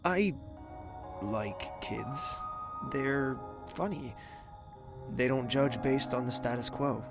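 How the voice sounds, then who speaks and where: A man playing a pensive young royal heir in an audio drama, gentle, self-doubting and softly hesitant. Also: mid-range voice, takes it slowly, over music. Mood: reflective, hesitant.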